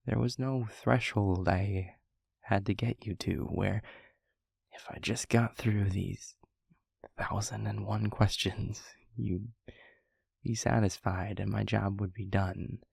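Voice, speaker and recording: A man playing a gentle, reflective demon mentor in an intimate audio roleplay, speaking softly and haltingly in a low, breathy, gravelly voice. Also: fairly even intonation, dry recording, studio quality, full character voice. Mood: tender, sincere. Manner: halting, intimate, soft-spoken with long pauses. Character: gentle reflective male demon steward, fondly confiding.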